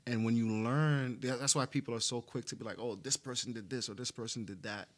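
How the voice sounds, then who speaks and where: A man talks as a guest in a casual interview. His pitch sits in an ordinary middle range. He is reflective and slangy, often leaning on "like" and "right?" as he thinks things through. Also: fairly even intonation, fairly quick. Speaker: interview guest, media and marketing executive.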